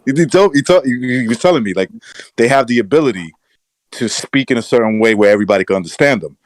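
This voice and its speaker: A man's voice: mid-range voice, animated, quick pace, no echo, poor audio. A man co-hosting a boxing podcast, with a mixed Southern and New York American accent, telling rambling, candid stories. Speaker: podcast co-host. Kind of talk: casual chat.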